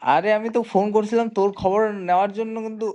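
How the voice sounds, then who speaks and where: A man's voice: high-pitched, steady tone, fast talker, dark tone. A young man in a casual phone chat, talking in a hesitant, rambling way. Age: young adult. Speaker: prank caller.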